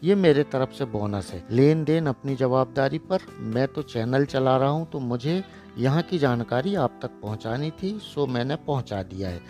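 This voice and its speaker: A man's voice: medium pitch, lively intonation, moderate pace. A man hosting a poultry farming channel, narrating a voice-over with blunt, lecturing and sarcastic remarks about careless buyers. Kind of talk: voice-over narration for a farm video. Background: soft background music.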